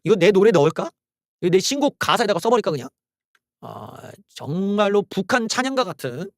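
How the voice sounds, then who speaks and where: A man's voice: sits high, very animated, fairly quick, dry recording, clean studio sound. A man hosting a solo political commentary channel, ranting in a heated, sarcastic way with exclamations and curses. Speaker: political YouTube host.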